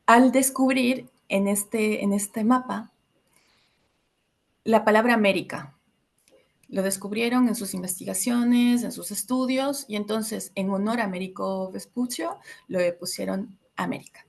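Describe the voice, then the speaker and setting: A woman from Ecuador in her thirties giving a reflective educational talk to a group, pausing often with fillers. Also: mid-range voice, vocal fry. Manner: reflective, many filler hesitations. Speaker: workshop facilitator.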